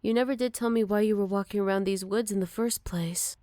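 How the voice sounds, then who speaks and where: Motherly she-wolf, played by a woman, older, protective, tender and unhurried. Medium pitch, intimate dry ASMR roleplay delivery. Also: steady tone, no room sound, natural voice. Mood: gentle, curious. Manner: soft, caring, unhurried, slipping into whispers. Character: gentle motherly older female werewolf, protective and wistful. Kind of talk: ASMR roleplay, intimate one-sided dialogue to listener.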